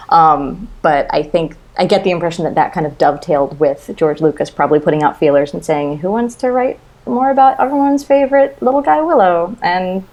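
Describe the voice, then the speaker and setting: A woman's voice: fairly low pitch, a little mellow. A woman in her thirties, a podcast guest giving casual opinions on books in a wry, self-deprecating way. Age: 30s. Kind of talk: casual opinionated book chat.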